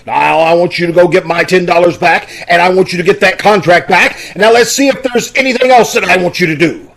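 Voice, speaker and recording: A man's voice: high-pitched, a little fast, gravelly, fairly bright tone, exaggerated voice. A man playing a flustered, pompous older school principal who stammers and blusters, in old-time radio comedy style. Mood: indignant, blustering. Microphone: video call. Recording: amateur video-call recording.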